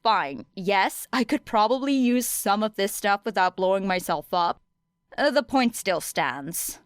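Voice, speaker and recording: A woman's voice: medium pitch, lively intonation, measured pace, clear, resonant tone, dry recording, studio quality, lightly acted. A woman playing a tough, capable young girl in an audiobook, blunt, wry and matter-of-fact.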